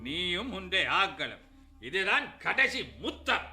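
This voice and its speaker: An actor with a Sri Lankan Tamil accent plays a bitter middle-aged brother-in-law in a radio drama, scornful, forceful and threatening. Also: male voice, high voice, sing-song, unhurried, soft background music, exaggerated voice. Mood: angry.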